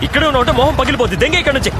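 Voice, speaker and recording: Actor as brusque man, extremely fast talker. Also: very high voice, music in the background. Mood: threatening.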